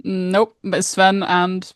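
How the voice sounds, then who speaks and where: A woman's voice: lowish voice, monotone, extremely slow, clean tone, no room sound, studio quality. A woman co-hosting a podcast, chatting casually in English as she runs a quiz, teasing and informal. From Norway. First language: Norwegian. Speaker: podcast co-host.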